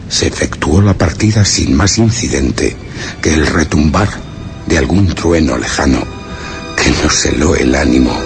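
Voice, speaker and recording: Very deep, gravelly, grave expedition survivor. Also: male voice, heavily acted. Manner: measured, formal, ominous. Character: grave male expedition survivor recounting a dreadful journey. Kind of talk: solemn literary horror radio drama narration. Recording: very noisy radio drama recording.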